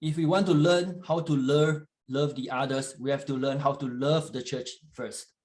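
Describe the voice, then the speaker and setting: A man preaching in English, which is not his first language, pausing often with fillers and restarts while an interpreter translates. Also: medium pitch, steady tone, darkish tone. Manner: halting, with frequent fillers and restarts. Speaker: guest church leader. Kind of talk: sermon in English with live interpretation.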